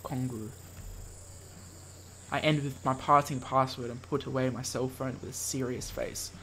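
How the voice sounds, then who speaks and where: A man's voice: medium pitch, flat delivery, moderate pace, a bit bright, a little hiss or hum. A man hosting a let's play, reading visual novel text dramatically and breaking off for playful asides. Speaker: gaming video host reading aloud.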